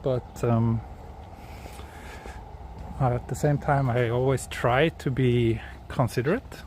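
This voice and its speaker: A man from Sweden, speaking English as a second language, hosts a relaxed live walking stream outdoors and chats with viewers as he goes. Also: mid-range voice, takes it slowly, slight background noise. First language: Swedish. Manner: relaxed, answers viewer chat while walking. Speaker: vlogger walking through a city. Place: outdoors on city streets.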